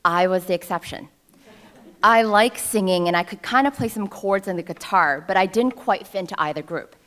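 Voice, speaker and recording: A woman's voice: mid-range voice, normal speed, a bit bright. Wry woman in her thirties telling a story. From New York City. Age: 30s. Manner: wry, self-deprecating humor. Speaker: alumna speaker at a ceremony. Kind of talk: personal storytelling speech. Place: ceremony stage before an audience.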